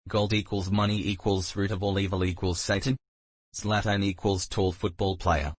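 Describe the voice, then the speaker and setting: A man reading online forum comments aloud in a deadpan way. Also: deep voice, moderate pace, no echo. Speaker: comment reader.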